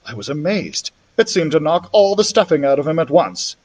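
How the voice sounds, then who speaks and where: A man reads a children's story aloud in an expressive storyteller's style. His voice sits a little high and moves up and down in a very lively way. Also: normal speed. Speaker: library storyteller.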